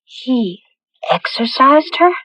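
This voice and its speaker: An actress playing a weakened, wounded young fiancée in a radio drama, pained and restrained. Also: female voice, high voice, very animated, slow, bright, forceful tone, no echo, heavily acted. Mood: disbelieving. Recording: dull lo-fi old radio recording.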